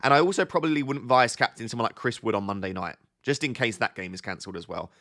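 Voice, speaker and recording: Male stream host, a little sniffly from a cold, middle-pitched, chatty and quick to restart his lines. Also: bright, forceful tone. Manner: chatty, thinks aloud, restarts sentences often. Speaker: livestream host answering viewer chat. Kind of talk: casual live-stream chat.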